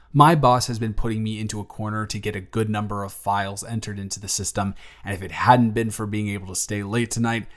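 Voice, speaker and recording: A young man playing a grateful single father who talks softly and teases gently in a romantic audio story. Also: lowish voice, close to an everyday voice. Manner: soft-spoken, gently teasing. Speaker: audio drama performer.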